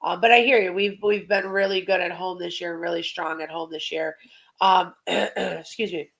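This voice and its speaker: Woman, creaky voice, slightly congested, sports podcast host, casual conversational football chat. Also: lowish voice, fairly even intonation, moderate pace, fairly bright tone.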